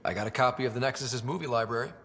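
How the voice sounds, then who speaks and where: A man's voice: mid-range voice, very fast, a bit bright, slightly rough, in a large hall. A man playing a friendly, composed young human explorer who is the hero of a video game. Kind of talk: video game dialogue, conversational.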